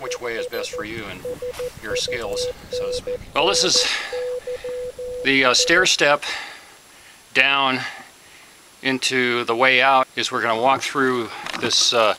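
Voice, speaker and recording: A man's voice: mid-range voice, pushed and bright. A middle-aged man outdoors on a desert trail, casually explaining the route with fillers and restarts. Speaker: ham radio hiker. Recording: noisy outdoor camera audio.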